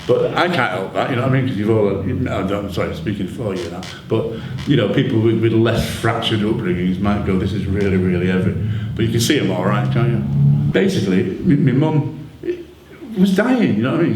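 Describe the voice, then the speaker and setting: Low-voiced Manchester man, candid storyteller. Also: a little fast, a little mellow. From Manchester, England. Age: middle-aged. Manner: candid, rambling, colloquial, frequent "you know". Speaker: author and musician interviewed at his book launch. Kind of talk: informal personal storytelling to an audience. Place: indoor event hall, on stage. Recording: roomy live venue with background noise.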